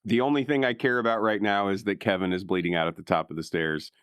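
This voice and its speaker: A man's voice: sits low, fairly even intonation, fast talker, a bit bright, clean tone, no room sound. A man chatting casually as a player in a tabletop game, swearing freely.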